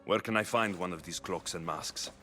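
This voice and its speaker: An actor playing a determined young Spartan mercenary in a video game, blunt and questioning. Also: male voice, low-pitched, moderate pace, pushed and bright, soft background music. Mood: pressing. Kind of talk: dramatic video game acting.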